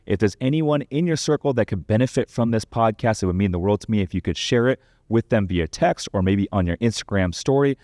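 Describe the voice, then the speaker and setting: A man's voice: lowish voice, lively intonation, a little fast, few pauses, a little mellow, no room sound. A man hosting a podcast, addressing his listeners directly in a personal appeal on a close microphone. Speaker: podcast host.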